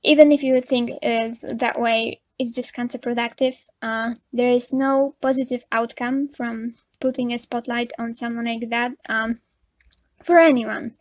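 A woman's voice: a little high, fairly even intonation, measured pace, no echo. A young woman with a Polish accent giving frank, chatty commentary over a drawing video, with nervous laughs and many fillers. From Poland. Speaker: commentary YouTuber.

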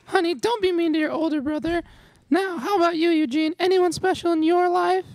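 A woman's voice: very high voice, brisk, a little mellow, dry recording. A woman playing a doting, nosy middle-aged mother in a live radio comedy, gushing and fussing over her family. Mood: gushing, fond. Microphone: stand microphone.

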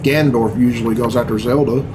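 A man's voice: mid-range voice, fairly even intonation, fast, low-quality recording. A middle-aged man giving casual, profane reaction commentary in a sarcastic, bemused grumble. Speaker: reaction video host. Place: small bedroom, indoors. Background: video game cutscene music.

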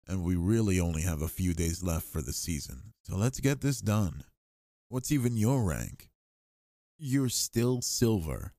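A man's voice: sits low, mellow, no room sound, clean studio sound. A young man playing an affectionate, cocky gamer boyfriend in an intimate ASMR roleplay, with slangy, teasing talk. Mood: cocky, competitive.

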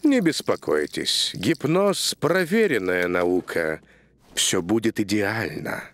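Slow, low-voiced old schemer. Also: male voice, very animated, exaggerated voice. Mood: calm, assured. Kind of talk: animated cartoon dub.

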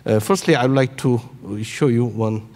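A man in his sixties with a Korean accent, giving a conference keynote in halting English, searching for words. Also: mid-range voice, a little mellow. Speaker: keynote speaker, robotics researcher. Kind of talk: conference presentation. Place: large conference hall on stage. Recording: echoey hall through PA.

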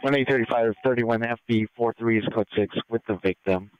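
A man's voice: medium pitch, a little fast, clean tone, no echo, lo-fi sound. A man passing on clipped, procedural updates over police scanner radio.

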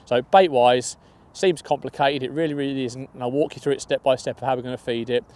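A man's voice: mid-range voice, steady tone, fairly quick, a little mellow. A young man casually talking through his fishing bait outdoors by a lake, upbeat and chatty, restarting phrases now and then. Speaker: match angler. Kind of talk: fishing tutorial.

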